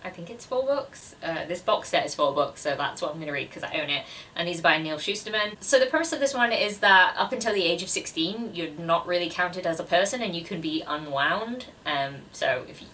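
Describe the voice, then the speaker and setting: Bright-voiced young woman chatting, slightly distant mic. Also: lowish voice, lively intonation, a little fast, slight background noise. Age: 20s. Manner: self-deprecating, chatty. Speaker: book vlogger. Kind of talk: casual chat to camera.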